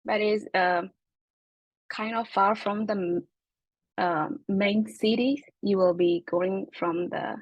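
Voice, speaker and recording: A woman's voice: medium pitch, fairly even intonation, slow, no room sound, muffled recording. Hesitant Burmese-accented young woman. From Myanmar. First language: Chin. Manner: hesitant, many fillers. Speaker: international graduate student. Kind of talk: interview answers.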